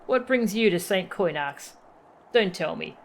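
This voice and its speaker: A woman's voice: lowish voice, expressive, measured pace, gravelly, a little hiss or hum. A woman voicing a hearty fantasy-game adventurer who teases an old friend in a familiar way. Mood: teasing.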